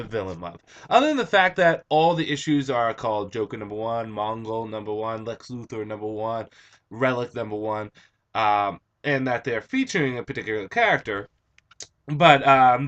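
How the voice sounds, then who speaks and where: Hoarse, raspy young guy for an eager, rambling comic review on a webcam. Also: medium pitch, a bit bright. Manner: enthusiastic, chatty, with frequent um and uh. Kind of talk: casual video review. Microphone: computer webcam mic.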